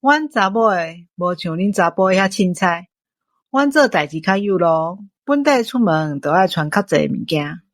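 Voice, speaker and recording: A woman's voice: on the low side, expressive, normal speed, no room sound, clean studio sound. A woman with a Taiwanese accent playing a friendly, determined shopkeeper in an audio drama. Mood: insistent.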